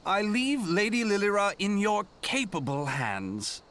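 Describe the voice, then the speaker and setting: A man's voice: sits high, sing-song, measured pace, fairly bright tone, a little hiss or hum, full character voice. A man playing a wry, urbane, perceptive adventurer in a fantasy game, with teasing and theatrically formal diction. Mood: intrigued. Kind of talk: fantasy game cutscene acting.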